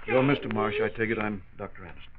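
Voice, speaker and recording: An actor playing a calm, courteous, reassuring pediatrician in a 1940s radio drama. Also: male voice, lowish voice, quick pace, a loud crowd, close to an everyday voice. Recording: thin, phone-like vintage radio recording.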